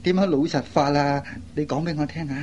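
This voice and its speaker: A man's voice: fairly high pitch, steady tone, brisk, a little mellow, slight background noise, close to an everyday voice. A man acting a mild, honest young bachelor in a radio drama, with a hesitant, sighing delivery, on a lo-fi recording. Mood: teasing.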